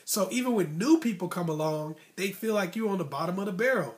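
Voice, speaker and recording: A man's voice: high voice, lively intonation, brisk. A man hosting an advice vlog, telling a personal story in a casual, colloquial, conversational way and voicing remembered dialogue. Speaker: online advice host telling personal stories.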